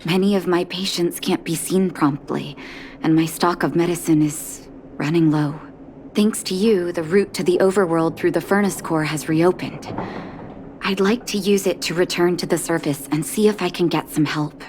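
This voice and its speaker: A woman playing a gentle, caring doctor in a video game, soft-spoken and measured, with a reflective, wistful manner. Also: lowish voice, fairly even intonation, background noise, close to an everyday voice. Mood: composed, hopeful. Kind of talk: video game dialogue voice acting.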